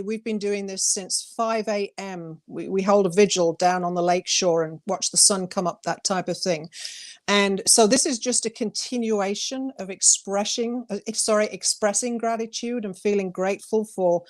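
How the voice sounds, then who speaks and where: A woman poet presenting and reading her own work as a guest, with deliberate, emphatic phrasing. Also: mid-range voice. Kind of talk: poetry reading with brief informal introduction.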